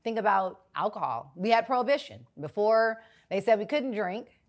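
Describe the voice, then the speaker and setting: A raspy-voiced woman in her forties from Los Angeles, a guest in a casual interview who gets animated and jumps high when she protests. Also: normal speed. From South Central Los Angeles.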